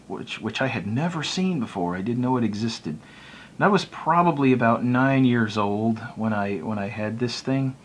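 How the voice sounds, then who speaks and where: An American man giving a casual, unscripted explanation as a video blogger, talking in a rambling, conversational way. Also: fairly low pitch. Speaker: video blogger, radio hobbyist.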